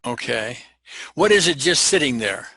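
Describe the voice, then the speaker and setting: An older man hosting a therapy session, asking measured, gently probing questions. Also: medium pitch, lively intonation, creaky. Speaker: EFT practitioner hosting a session. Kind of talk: calm therapeutic interview.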